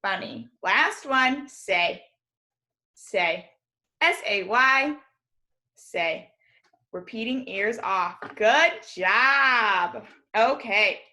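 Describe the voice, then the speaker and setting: Bright, slow-paced American woman teaching children. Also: a little high, expressive. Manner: encouraging, exaggerated clear enunciation. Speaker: kindergarten teacher. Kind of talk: distance lesson for young children, call-and-repeat drill.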